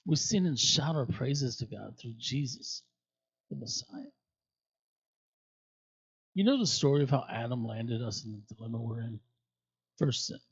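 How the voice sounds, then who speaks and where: A man reading scripture aloud expressively, with emphatic, deliberate phrasing and dramatic pauses. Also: medium pitch, slightly rough, no echo, muffled recording. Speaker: scripture reader at a church.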